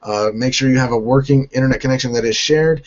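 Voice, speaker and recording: A hoarse man from North Carolina hosting a gaming channel, answering viewer questions in a halting way and often restarting his sentences. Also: mid-range voice, dull audio. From North Carolina, United States.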